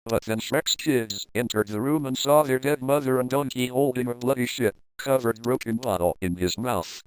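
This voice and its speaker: A man's voice: medium pitch, normal speed, clean tone, no room sound, rough recording. A man reading a comic fan fiction story aloud in a playful, crude, dramatic manner.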